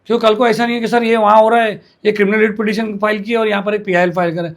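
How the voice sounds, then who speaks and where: A man's voice: sits high, flat delivery, fast talker. A man interviewing for a news channel, arguing insistently, cutting in and repeating phrases for emphasis. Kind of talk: animated news interview, arguing his point.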